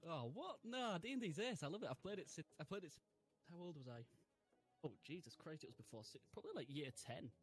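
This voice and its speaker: A man's voice: on the high side, animated, fast talker. A man in his twenties chatting casually and laughing often while streaming a game. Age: 20s. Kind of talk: casual gaming chat.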